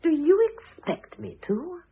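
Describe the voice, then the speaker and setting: An actress playing a sharp-tongued, dignified older former wife in a classic radio drama, cutting and disdainful. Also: female voice, extremely high pitch, big pitch swings, measured pace, exaggerated voice. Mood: brisk scorn. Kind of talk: classic stage-style radio drama acting. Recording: muffled narrow-band old radio recording.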